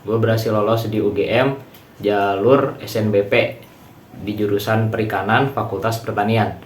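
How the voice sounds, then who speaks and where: A young man, giving a student testimonial in a colloquial, explanatory way. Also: on the low side. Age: late teens. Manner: colloquial, explanatory, many tag words. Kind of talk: casual informal testimonial. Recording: roomy echo, faint background noise.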